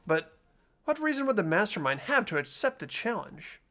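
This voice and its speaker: Performer as an earnest teenage boy, lively pitch. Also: moderate pace. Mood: puzzled, uneasy. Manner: earnest, questioning. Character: earnest, anxious teenage boy, hopeful underdog. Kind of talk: character voice acting in a game fan dub.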